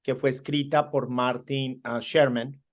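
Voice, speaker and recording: A man's voice: mid-range voice, level tone, unhurried. A man hosts the conversation, winding through long questions. He often restarts his words and lifts his voice to stress a point. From Colombia. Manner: searching, repetitive restarts, emphatic rises. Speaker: interview host.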